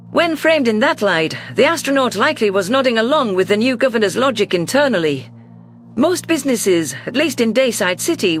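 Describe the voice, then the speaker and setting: A man narrating a science fiction story in the first person, in an expressive, theatrical manner. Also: high voice.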